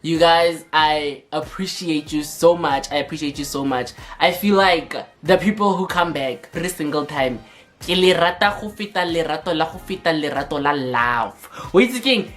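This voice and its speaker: A sassy South African woman chats to her camera in a playful vlog, tossing out quick banter and sliding between languages. Her voice sits a bit low for a woman, with faint music playing behind her. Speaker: YouTuber. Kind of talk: casual vlog talk to camera.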